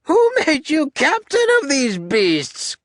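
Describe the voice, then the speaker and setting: A man voicing a mocking, imperious warlord with a high-pitched, grating voice, in an audiobook style. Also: huge pitch swings, takes it slowly, fairly bright tone, no room sound, studio quality, exaggerated voice. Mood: scornful. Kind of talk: audiobook character acting.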